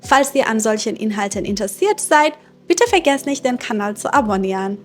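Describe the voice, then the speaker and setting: A young woman from Iran who teaches German, hosting her channel and presenting books in a clear, measured teaching style with occasional hesitations. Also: fairly high pitch, lively intonation, faint music behind. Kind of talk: slow, clear teaching narration for learners.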